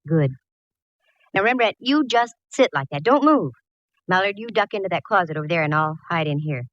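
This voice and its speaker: A woman's voice: lowish voice, lively intonation, normal speed, fairly bright tone, no echo. An American woman playing a wisecracking, confident private eye in a vintage radio detective drama, narrating and giving orders with wry ease. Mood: wry, brisk. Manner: breezy, wry, commanding. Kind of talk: 1940s-50s radio detective acting and narration. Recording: muffled, noisy old radio recording.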